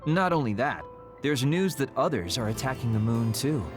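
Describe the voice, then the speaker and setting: A man's voice: medium pitch, expressive, normal speed, close to an everyday voice. Man acting guarded young man, wry, defiant, swinging intonation, game dub, music underneath. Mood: scornful, explaining.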